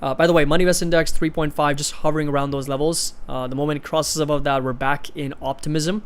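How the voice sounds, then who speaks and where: A man's voice: fairly high pitch, steady tone, quick pace, clear, resonant tone. A man in his twenties from India, hosting a livestream and casually chatting as he answers viewers' comments. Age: 20s. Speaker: livestream host of an investing channel.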